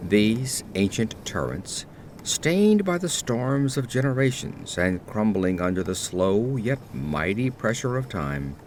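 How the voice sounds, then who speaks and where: A man playing a melancholy aged nobleman who recounts his accursed life in formal, measured gothic horror narration. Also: medium pitch, darkish tone, slight background noise. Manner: formal, measured, literary storytelling. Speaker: narrator of an audio drama.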